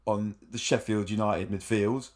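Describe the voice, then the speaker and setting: Animated man chatting about football. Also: lowish voice, a little slow. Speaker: podcast co-host. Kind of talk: casual football chat.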